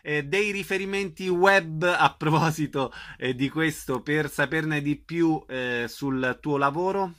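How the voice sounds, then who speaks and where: A man's voice: on the high side, a little slow, hardly any pauses, fairly bright tone. A man hosts a conversational radio interview, courteous, with long and somewhat hesitant sentences.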